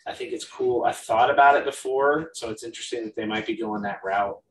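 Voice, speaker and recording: A man's voice: mid-range voice, brisk, recorded from a distance. A man co-hosting a horror podcast, chatting in an easygoing, agreeable way. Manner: easygoing, agreeable, reads viewer comments aloud. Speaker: podcast co-host. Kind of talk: casual horror movie chat. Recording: roomy sound, mic set back, faint hiss.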